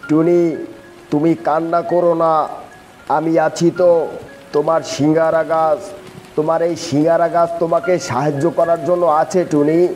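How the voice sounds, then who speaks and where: A man as a high-voiced, kindly magic tree. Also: little pitch movement, darkish tone, soft background music, close to an everyday voice. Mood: gently reassuring. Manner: soothing, deliberate, reassuring. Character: kind magical talking samosa tree, male, gently reassuring. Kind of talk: slow children's fairy-tale cartoon dubbing.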